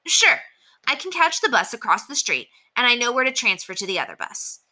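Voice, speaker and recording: A woman voicing an eager, anxious young schoolboy in a children's storybook, excitable and pleading. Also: fairly high pitch, brisk, pitched above the performer's own voice, heavily acted, low-quality recording. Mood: eager, urgent.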